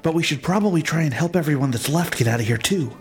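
Male adventurer for a casual audio drama, slightly high, animated delivery, light music. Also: fast.

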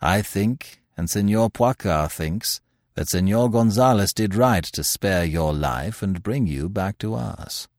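A male reader plays a grave, composed man who leads a secret band, speaking low and measured, a notch deeper than his own narrating voice. The delivery is hushed and controlled, and it is recorded close and dry. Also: expressive, dry recording. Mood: calm, grave.

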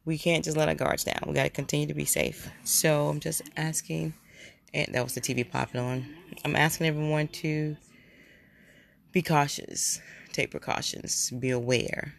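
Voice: mid-range voice, fairly even intonation, normal speed, creaky, slight background noise. A podcast host with a cold, plainly congested, talking in a rambling, heartfelt solo episode. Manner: rambling, heartfelt, repeats words. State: stuffy, congested nose from a cold. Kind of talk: casual solo podcast monologue.

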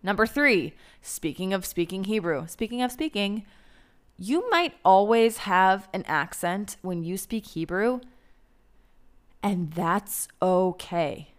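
A woman's voice: mid-range voice, lively intonation, slow. A young American woman giving casual advice in a blunt, candid way, swearing freely. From United States. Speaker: advice vlogger.

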